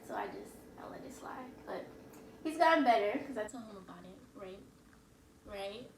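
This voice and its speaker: A woman's voice: mid-range voice, animated, normal speed, far from the mic, a little hiss or hum. Young woman, echoey and set back from the mic. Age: young adult. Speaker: couple vlogger. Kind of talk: casual chat.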